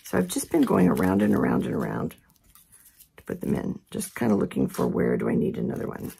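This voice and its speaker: A woman with a hoarse voice from a head cold explains a craft step by step in a relaxed, chatty way. Her voice is heavily gravelly and raspy. Her pitch sits on the low side but moves a lot. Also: brisk. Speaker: craft instructor. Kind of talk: casual step-by-step tutorial.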